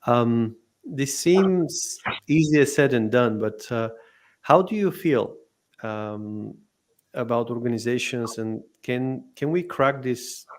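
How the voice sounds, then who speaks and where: A man's voice: mid-range voice, slow pace. A man hosting an interview podcast, speaking with many fillers and restarts as he welcomes and questions his guest. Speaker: podcast host.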